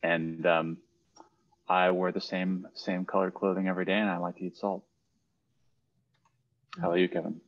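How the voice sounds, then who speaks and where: Very deep-voiced man on a dull call. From Los Angeles. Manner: relaxed, halting with "uh" and repeated words. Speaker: webinar co-host. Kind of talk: explaining to a webinar audience. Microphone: video call. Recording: dull, dry online call audio.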